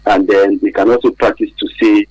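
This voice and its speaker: Low, husky Nigerian man, halting speech. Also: level tone, a little mellow, dry recording, poor audio. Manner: hesitant, halting, explanatory. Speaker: expert guest on a radio programme. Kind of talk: radio interview answers. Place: radio studio.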